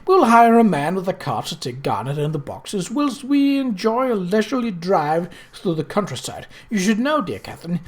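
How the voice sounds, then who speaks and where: An imperious, effusive society lady from an Edwardian storybook, acted out by a reader, swooping widely in pitch. She is theatrical and bossy, with a keen relish for scandal. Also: big pitch swings, moderate pace, few pauses. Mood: firm, bossy.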